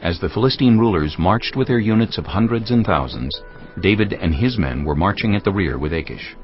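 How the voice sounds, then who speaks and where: A man's voice: low-pitched, lively intonation, a little fast. A man reading scripture as the narrator of a dramatized audio production, in a scripted storytelling style. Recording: muffled studio reading with faint music.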